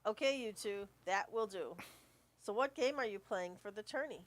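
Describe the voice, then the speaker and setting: A woman's voice: mid-range voice, normal speed, lightly acted. A woman playing a patient but easily exasperated school staffer, polite and admonishing, in an amateur radio play.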